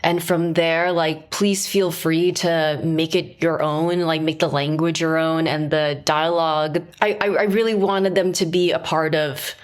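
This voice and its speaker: A woman's voice: sits low, fairly even intonation, measured pace, few pauses. A woman film director talking casually in an interview, chatty and full of fillers. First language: Mandarin is not her first language.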